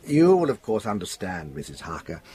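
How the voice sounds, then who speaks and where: An actor playing an eerily courteous, learned asylum patient who speaks formally and pedantically in an audio drama. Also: male voice, fairly low pitch, huge pitch swings, moderate pace, dark tone, husky, heavily acted. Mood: lofty, lecturing. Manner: formal, pedantic, precise diction. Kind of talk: British radio drama acting.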